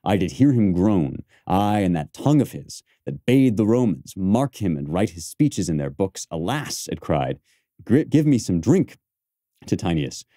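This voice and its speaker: A man's voice: low-pitched, sing-song, a little slow, dark tone, no echo, studio quality. A man playing a proud, resentful Roman conspirator in emphatic, rhetorical Shakespearean verse. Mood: scornful, incredulous. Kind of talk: dramatic Shakespearean verse recitation.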